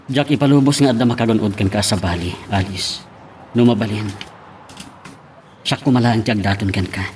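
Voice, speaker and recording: A man's voice: lowish voice, brisk, darkish tone, a little hiss or hum, natural voice. A man voice-acting a shy, earnest suitor who pleads hesitantly in an Ilocano love drama. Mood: hesitant, jealous.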